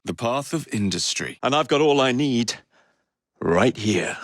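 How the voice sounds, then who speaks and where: An actor playing a cocky, roguish young Victorian gang leader in a video game, with an English accent and a cheeky, theatrical, teasing way of speaking. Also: male voice, mid-range voice, animated, a little slow, no room sound, studio quality. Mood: wry, casual. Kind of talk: dramatic video game dialogue lines.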